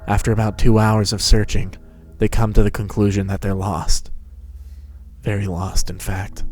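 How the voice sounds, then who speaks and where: A man's voice: fairly low pitch, monotone, moderate pace, a little mellow, faint music behind. A man narrating a fan-fiction story as a scripted dramatic reading.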